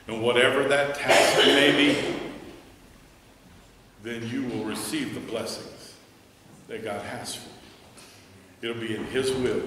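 A man's voice: mid-range voice, a little hiss or hum. Middle-aged American man, pastor, emphatic sermon at a pulpit, heard from a distance with long reverb. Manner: earnest, emphatic preaching, softening to a hushed prayer. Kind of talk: sermon and altar call. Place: church sanctuary, standing at a pulpit. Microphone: headset mic. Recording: echoey church hall, from a distance.

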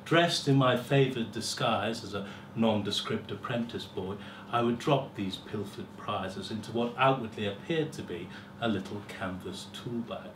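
Theatrical male storyteller, recorded from across a room. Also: on the low side. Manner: theatrical, deliberate, with pauses and swelling emphasis. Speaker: story reader at a live festival. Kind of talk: dramatic first-person story reading. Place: small lecture room with seated audience. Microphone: far camera. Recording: roomy, distant camera mic, faint hiss.

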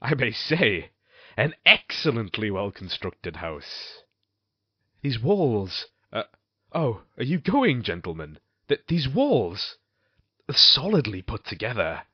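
An actor playing a guilt-ridden husband and murderer in a gothic audio drama, speaking formally and theatrically with an outward show of smug composure. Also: male voice, medium pitch, huge pitch swings, breathy edge, dry recording, dull audio, heavily acted. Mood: nervous bravado. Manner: measured, theatrical, formal old-fashioned diction.

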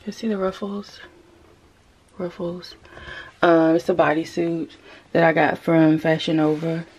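A woman's voice: deep voice, level tone, measured pace, a little mellow, breathy edge, dull audio. A woman in her thirties chatting casually to viewers as she shows clothes in her closet, in a rush and calling them y'all. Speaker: vlogger. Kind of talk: casual clothing haul chat. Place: walk-in closet at home. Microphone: camera set up across the closet. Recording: hissy home video.

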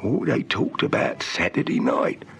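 Creaky, swooping South African informer. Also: male voice, mid-range voice, very animated, measured pace, a bit bright, full character voice. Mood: eager, insistent. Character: shifty, eager male police informer. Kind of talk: vintage radio drama acting. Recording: noisy old radio serial recording.

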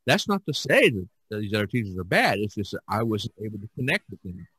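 A man hosting a casual podcast chat, speaking haltingly and often repeating words. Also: fairly low pitch, very animated, fairly bright tone, husky, no room sound, rough recording. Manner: halting, with repeated words and frequent "you know". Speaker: podcast host. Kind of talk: casual discussion of art practice.

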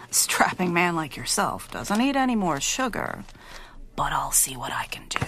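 An actress playing a kindly, flirtatious, busy nurse in a radio drama, chatty and soothing. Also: female voice, lowish voice, sing-song, slow, in a whisper. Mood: teasing, soothing.

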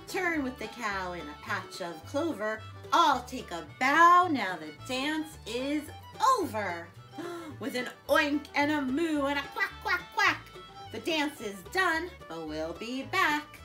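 A middle-aged woman teaching preschoolers, talking gently and reading a picture book in a lively sing-song voice with animal sounds, seated on a living room couch. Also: high-pitched. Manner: gentle, warm teacher talk to young children. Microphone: camera in front.

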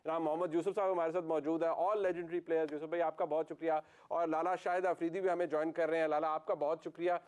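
A man's voice: fairly high pitch, little pitch movement, fast talker, few pauses, a little mellow, clear, resonant tone. A man in his thirties hosting a live cricket broadcast from a TV studio, speaking formally with many fillers in his long questions. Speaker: sports show host.

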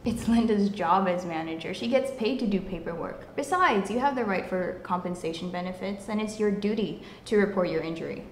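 A woman's voice: mid-range voice, brisk, few pauses, natural voice. A young woman playing a concerned coworker urging a friend in an amateur drama. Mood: insistent. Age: teenager or young adult. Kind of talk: amateur scripted drama dialogue. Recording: roomy echo, clear background noise.

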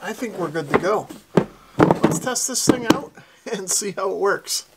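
A man's voice: high voice, unhurried, heavy background noise. A middle-aged American man hosting a hobby how-to, talking in an easygoing, self-deprecating, casual way. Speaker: host of a radio hobby channel.

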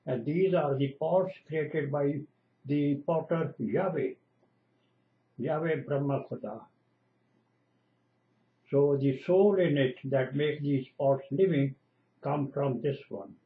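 An older man, a retired lecturer whose first language is Punjabi, slowly and earnestly expounding scripture at home. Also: on the high side. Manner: slow, earnest, lecturing, repeats lists of divine names. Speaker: retired lecturer giving Bible exposition. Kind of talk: home-video scripture teaching. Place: small room indoors at home. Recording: dull lo-fi webcam audio.

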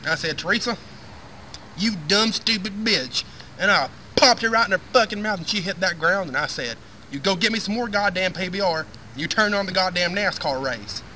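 Casting: male comic as crude boozy Southern redneck spinning tales, very sharp forceful voice, recorded outdoors from a distance. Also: sits high, expressive, normal speed, full character voice. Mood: gruff, irritated. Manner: brash, profane, drawling. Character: crude, drunken redneck man spinning rambling foul-mouthed tales. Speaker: storytelling host character. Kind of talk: comic in-character monologue. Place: outdoors on a wooden deck in woods. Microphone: camera a few meters away. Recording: distant camera mic with faint outdoor noise.

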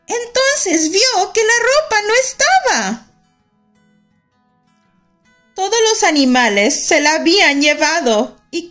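A woman reading a children's picture book aloud in a slow, expressive storytelling manner. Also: very high-pitched. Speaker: storybook reader.

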